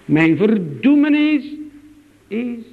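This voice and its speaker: A man's voice: very high voice, sing-song, takes it slowly, gravelly, in a large hall, phone-quality sound. A man preaching a sermon in a church, with a solemn, swooping preaching cadence.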